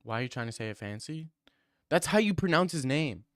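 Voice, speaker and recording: A man's voice: on the high side, lively intonation, a little fast, a bit bright, dry recording, studio quality. A young man streaming and answering viewer comments in a casual, teasing way, full of mock bravado.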